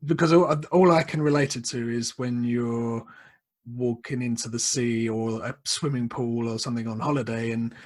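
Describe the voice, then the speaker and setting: A man hosting a podcast, asking curious follow-up questions in a relaxed conversational way. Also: medium pitch, normal speed. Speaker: podcast host. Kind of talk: casual interview questions.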